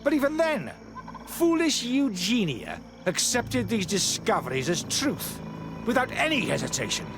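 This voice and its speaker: A haughty, self-righteous priest from a fantasy game, with a fairly high voice that swings widely in pitch. He sounds fanatical and agitated, theatrical in his delivery, with clear music playing behind him. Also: heavily acted. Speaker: game character voice actor. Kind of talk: dramatic video game acting.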